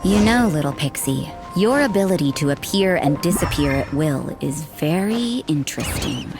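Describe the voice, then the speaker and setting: A woman's voice: deep voice, expressive, normal speed. A woman voicing a cool, cynical church sister in a video game, dry and aloof. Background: game music.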